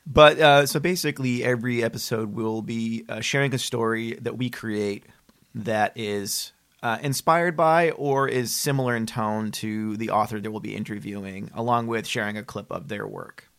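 A man's voice: mid-range voice, moderate pace, fairly bright tone, no echo. A man co-hosting a podcast in casual chat, laid-back and joking. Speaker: podcast co-host and writer.

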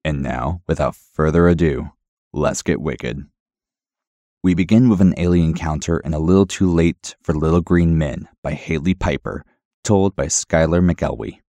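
A man's voice: extremely low pitch, moderate pace, warm tone, no room sound. A man presenting a scripted introduction to a show as its host. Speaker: podcast presenter.

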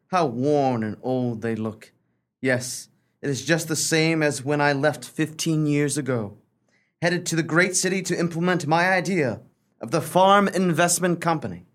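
An actor playing a rich, brooding city financier returned home, in a comic radio melodrama monologue. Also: male voice, a little high, fairly bright tone.

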